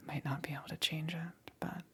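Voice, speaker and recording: A demon queen, played by a woman, whispers in a husky, very breathy voice with a heavy crackle of fry. She is seductive and possessive with a melancholy streak, speaking slowly and with soft condescension in an intimate ASMR roleplay. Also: low-pitched, full character voice. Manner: slow, deliberate, softly condescending.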